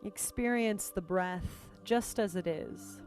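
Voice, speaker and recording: A woman leading a guided meditation as a teacher, speaking gently and unhurriedly with pauses between phrases. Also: mid-range voice. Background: music.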